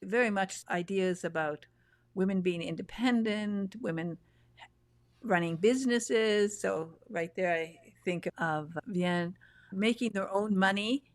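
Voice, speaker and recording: A woman's voice: medium pitch, measured pace, no echo. A woman host, living in Texas, chatting and reminiscing effusively while she interviews an author. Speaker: show host. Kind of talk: casual interview chat. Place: home in Texas. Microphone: video call.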